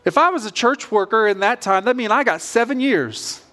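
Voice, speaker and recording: High-pitched male pastor, conversational sermon. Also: lively intonation, normal speed, bright, forceful tone. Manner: informal, self-questioning storytelling.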